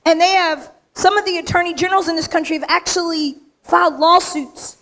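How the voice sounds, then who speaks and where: A woman from the United States with a slightly raspy voice, giving an emphatic, straight-talking acceptance speech in front of a room of people. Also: very high voice, steady tone, a little fast, a bit bright. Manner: straight-talking, emphatic, punchy short phrases. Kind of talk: acceptance speech to a live audience. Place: large event room with audience.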